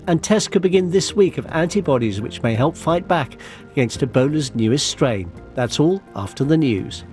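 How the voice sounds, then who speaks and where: Guy hosting a radio news show, chatty questions, faint music under him. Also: mid-range voice. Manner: conversational, probing questions. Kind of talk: broadcast interview and presenting.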